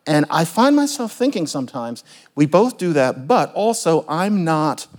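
A man's voice: on the high side, sing-song, moderate pace. A man from Philadelphia in a casual conversation, talking in a thoughtful, reflective and self-deprecating way. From Philadelphia, USA.

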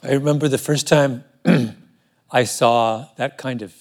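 A man from Jamaica hosting a casual conversation, telling a story in a lively, amused way. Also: medium pitch, slow.

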